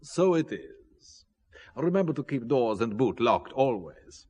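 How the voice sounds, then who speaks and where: An actor playing a suave, menacing Italian count and shady art dealer in a spy thriller audio drama, urbane, deliberate and guarded. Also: male voice, medium pitch, very animated, mellow. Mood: smooth politeness.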